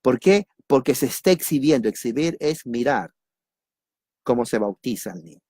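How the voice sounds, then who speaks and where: Peruvian preacher with a dry, close sound. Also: male voice, medium pitch, moderate pace, husky, dry recording. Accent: Peruvian Spanish. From Peru. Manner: repeats key words, asks and answers his own questions; lively, jokes with listeners. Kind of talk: teaching sermon on doctrine.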